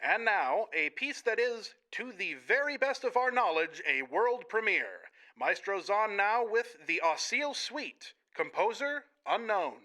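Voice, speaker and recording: A man's voice: sits high, big pitch swings, a little slow, few pauses, sharp tone, exaggerated voice. A man playing a formal, stately radio announcer in an old-time broadcast audio drama. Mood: solemn, grand. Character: formal, showy male 1940s radio announcer.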